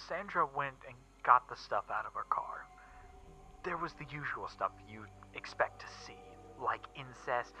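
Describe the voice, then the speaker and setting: A man playing a shaken young witness recounting an occult horror in a first-person story reading, halting and emphatic, with stammers and repeats. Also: mid-range voice, sharp tone. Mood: hushed, dread. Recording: muffled recording with background music. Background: eerie background music.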